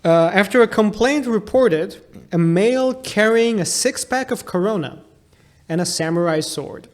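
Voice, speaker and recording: A man's voice: high-pitched, animated, measured pace. A man co-hosting a comedy podcast in casual, playful banter, riffing on jokes and acting out little bits.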